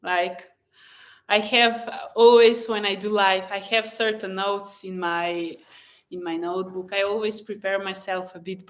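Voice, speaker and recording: A woman who is a native Slovenian speaker, hosting a casual live chat in a gentle, encouraging and effusive way, often pausing mid-thought. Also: medium pitch. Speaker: live stream host and blogger.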